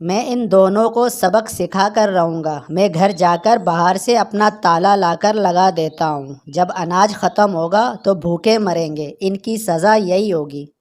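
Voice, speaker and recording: A loyal, protective male pigeon from a children's cartoon, played by a woman. He talks very slowly, as a helpful friend in a moral tale. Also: on the low side, darkish tone, lightly acted. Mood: determined. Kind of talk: Hindi moral-story cartoon dubbing.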